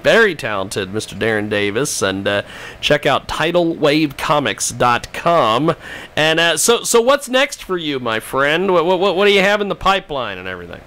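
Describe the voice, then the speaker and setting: A man's voice: on the high side, very animated, moderate pace, sharp tone. A man hosting a casual radio-style interview, chummy and repeating words as he talks. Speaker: show host.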